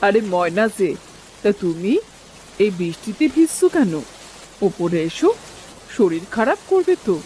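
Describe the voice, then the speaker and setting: A woman playing a kind, caring village neighbour in a cartoon story, with a gentle, solicitous manner. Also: medium pitch, sing-song, a little slow, long pauses, low-quality recording. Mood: concerned, kind. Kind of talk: Bengali cartoon story voice acting. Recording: faint noise of falling rain.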